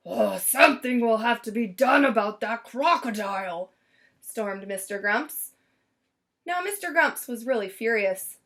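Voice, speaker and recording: A woman's voice: fairly high pitch, fairly bright tone. A woman reading a children's story aloud expressively, stretching and stressing words for drama. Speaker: storybook reader.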